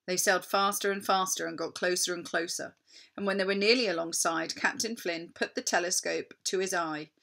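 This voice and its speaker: A woman narrating a children's story in an expressive, deliberate, dramatic storytelling manner. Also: on the low side, gravelly. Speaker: storybook reader.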